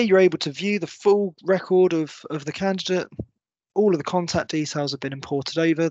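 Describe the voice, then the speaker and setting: A man in his thirties presenting a software walkthrough, explaining step by step in a semi-scripted tutorial style. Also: a little high, no echo, highs cut off. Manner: clear, step-by-step explanatory delivery. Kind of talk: semi-scripted screen-share tutorial narration.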